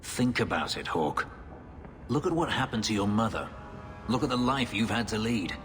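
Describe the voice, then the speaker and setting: An actor playing a brooding, bitter elf warrior who was once a slave, speaking in a grave, measured way. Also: male voice, very animated, fairly bright tone. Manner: low, grave, measured delivery. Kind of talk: video game voice acting. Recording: long reverb under game music.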